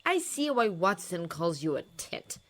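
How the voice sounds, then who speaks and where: A bitter, mocking male professor with a slow drawl, as a woman reading a story puts him on. He pitches his words a little high and lets them roll out with spite. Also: sing-song. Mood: spiteful.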